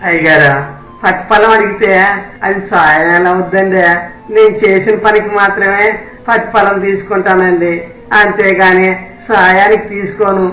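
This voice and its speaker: A man's voice: high-pitched, fairly even intonation, moderate pace, sharp tone, soft background music. A man playing an innocent, selfless poor village labourer in a moral story, speaking in an earnest, rustic, deferential way. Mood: humble, earnest. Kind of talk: scripted moral story dialogue. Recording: roomy echo on the voice.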